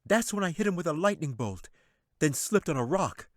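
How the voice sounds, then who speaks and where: A young boy wizard talks in a high, raised voice. He is bold and boastful, rushing along breathlessly with eager excitement, as in a fantasy storybook. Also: no room sound, studio quality. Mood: excited, boastful.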